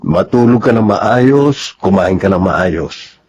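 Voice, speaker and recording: Low-voiced Filipino soldier husband, muffled. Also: male voice, lively intonation, takes it slowly, a little mellow. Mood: reassuring. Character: devoted young soldier husband, steady, reassuring. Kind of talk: Filipino radio drama acting. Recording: muffled, noisy radio drama audio.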